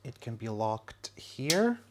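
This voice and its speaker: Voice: mid-range voice, sing-song, slow pace, background noise. Chatty self-mocking plant vlogger. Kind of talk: casual home vlog. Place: home room, indoors.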